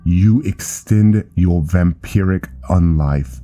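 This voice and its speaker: A man playing a commanding, haughty elder vampire knight in a fantasy game, speaking in a formal, deliberate way. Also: deep voice, dark tone, faint music behind. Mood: stern, magnanimous. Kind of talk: fantasy game character dialogue.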